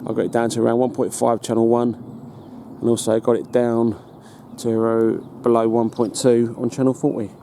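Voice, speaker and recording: A British man giving a casual hands-on demonstration as a hobbyist, in a halting, matter-of-fact way. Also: medium pitch, flat delivery, dark tone. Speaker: hobbyist presenter. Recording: noticeable background noise.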